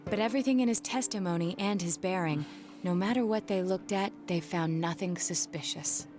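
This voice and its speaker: A woman's voice: on the low side, moderate pace, over music, natural voice. A woman playing a composed young detective in a video game, earnest, formal and precise in explaining. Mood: analytical, serious. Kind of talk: English video game voice acting.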